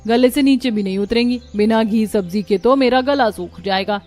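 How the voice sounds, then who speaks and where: A woman acts a young village daughter-in-law in a cartoon story. The voice is fairly high, chatty and expressive, over soft background music. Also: moderate pace, natural voice. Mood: complaining. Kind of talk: Hindi cartoon story dub.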